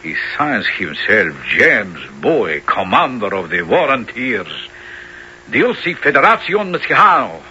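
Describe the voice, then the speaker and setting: A haughty mexican general in an old radio play, very sharp voice, noisy muffled tape. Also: male voice, medium pitch, huge pitch swings, measured pace, exaggerated voice. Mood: haughty, scornful. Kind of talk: radio drama acting. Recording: muffled, noisy late-1970s radio recording.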